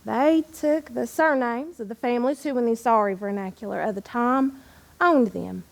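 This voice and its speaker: A woman playing an Appalachian poet of yesteryear, fond and proud, in a theatrical living-history monologue with dramatic pauses and deliberate, expressive delivery. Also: fairly high pitch, vocal fry. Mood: proud, storytelling. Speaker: historical reenactor reciting poetry. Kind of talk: theatrical first-person living-history monologue with verse recitation.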